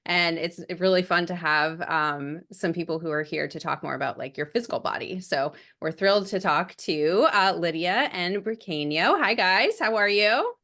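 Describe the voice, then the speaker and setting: A woman's voice: lowish voice, lively intonation, normal speed, hardly any pauses, fairly bright tone. A woman co-hosting a podcast, bubbly and gushing, with lots of fillers. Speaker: podcast co-host. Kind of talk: casual podcast chat.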